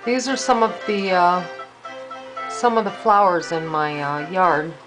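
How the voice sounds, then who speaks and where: An older woman, a grandmother reading a storybook aloud to a child in an expressive, deliberate storytelling manner. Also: on the low side, over music, poor audio.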